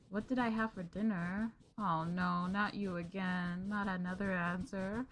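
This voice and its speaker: A young woman streamer chatting gently and sweetly with her viewers in a maid roleplay, calling them master. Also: medium pitch, fairly even intonation, slow, a little hiss or hum.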